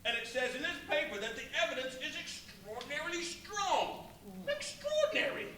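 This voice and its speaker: A man playing a chatty, gullible working-class mariner, animated and rambling in a live radio play. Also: very high-pitched, moderate pace, heavily acted. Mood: amazed, gossipy. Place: live stage performance in a brewery venue. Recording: echoey, noisy live venue, far from mic.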